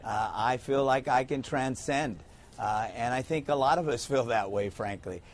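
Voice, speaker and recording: A man who is a mayor, speaking in a measured way with frequent hesitant pauses on a TV panel. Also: medium pitch, slight background noise. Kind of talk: TV panel discussion.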